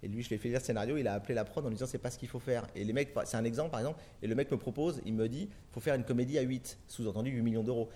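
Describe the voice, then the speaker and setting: Fast-talking, rambling French man. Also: medium pitch, mellow. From France. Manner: rambling, many restarts and fillers. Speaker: film director. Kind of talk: interview answers.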